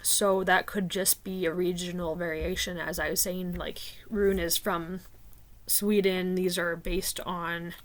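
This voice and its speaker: A woman's voice: lowish voice, level tone. A woman from Canada talking casually and thinking aloud, with frequent fillers and restarts. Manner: unhurried, hesitant, many fillers like "um" and "like". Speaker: video creator discussing rune poems. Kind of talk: casual spoken commentary.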